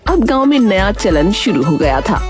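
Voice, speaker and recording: A woman's voice: medium pitch, sing-song, normal speed. A woman reading scripted story narration as the narrator of a village tale. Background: music.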